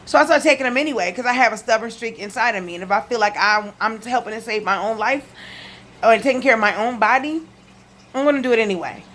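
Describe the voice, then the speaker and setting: A woman's voice: fairly high pitch, fast, a bit bright, a little hiss or hum. A woman with a lisp talking casually and emphatically to her viewers in a home video, repeating key points. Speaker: video blogger giving health testimony. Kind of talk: casual direct-to-camera chat. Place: living room at home.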